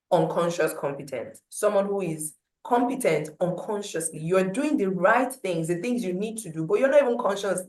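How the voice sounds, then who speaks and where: A woman tells her story in a low, loud voice, her pitch and volume swinging as she talks. The sound carries a roomy echo. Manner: animated storytelling with big swings in pitch and loudness. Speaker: motivational speaker. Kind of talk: storytelling talk at a breakfast meeting.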